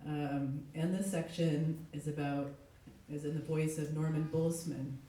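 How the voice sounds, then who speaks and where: Middle-aged woman, hoarse from a cold, mellow tone, live literary reading, heavily echoing room. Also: low voice, steady tone, measured pace. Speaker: literary translator giving a reading. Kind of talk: live literary reading aloud with short spoken introduction. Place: echoey indoor event space. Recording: very echoey room, distant mic.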